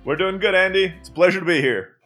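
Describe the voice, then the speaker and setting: A man's voice: high voice, quick pace, a bit bright, soft background music. A man with an American accent, a native Turkish speaker, chatting as a podcast guest and laughing easily. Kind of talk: casual interview chat.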